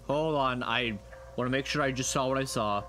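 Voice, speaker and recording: A man's voice: mid-range voice, normal speed, pushed and bright, a little hiss or hum. A man streaming casual reaction commentary, rambling and playful, often questioning what he watches. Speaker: streamer reacting to horror videos.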